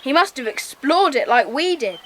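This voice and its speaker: A woman's voice: high voice, very animated, moderate pace. A woman playing an earnest, confident, bossy boy gang leader in a children's audio drama. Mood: excited, assured. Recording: noisy cassette transfer.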